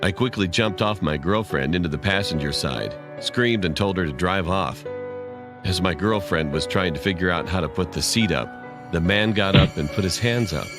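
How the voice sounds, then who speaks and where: Guy reading a horror story, really deep voice, music going behind him. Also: normal speed. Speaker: narrator. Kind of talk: scripted horror story narration.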